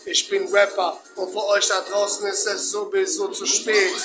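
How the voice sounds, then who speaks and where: A young man from Germany with a cold, freestyle rapping boastfully into a webcam in a dark bedroom. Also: high-pitched, level tone, moderate pace, music in the background. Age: young adult. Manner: boastful, repetitive rhymes. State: has a cold. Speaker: amateur rapper. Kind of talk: freestyle rap over a beat. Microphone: webcam at close range. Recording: beat playing under the voice. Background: loud backing beat.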